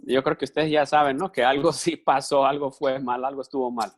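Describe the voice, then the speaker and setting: A man's voice: medium pitch, fairly even intonation, fairly quick. Man interpreting a talk on the fly. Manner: conversational, with repeated words and restarts while interpreting. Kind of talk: live consecutive interpretation.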